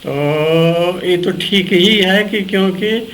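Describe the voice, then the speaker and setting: Slow-paced man giving political commentary. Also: sits high, a bit bright, a little hiss or hum, rough recording. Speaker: guest commentator.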